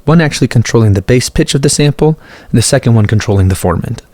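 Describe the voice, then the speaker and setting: A man's voice: medium pitch, lively intonation, fast, a little mellow, no echo. A man hosting a tutorial in a scripted narration style. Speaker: tutorial host.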